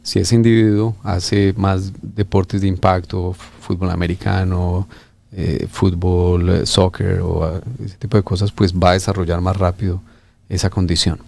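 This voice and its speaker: Low man from Colombia, gravelly, hesitant, many fillers, surgeon guest. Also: level tone, normal speed, a little mellow. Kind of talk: conversational interview explanation.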